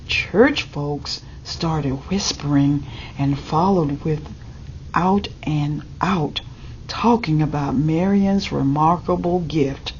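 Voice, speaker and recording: Low-voiced woman slowly reading a storybook. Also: a little mellow. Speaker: storybook reader. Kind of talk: reading a children's picture book aloud. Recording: dull lo-fi audio with background noise.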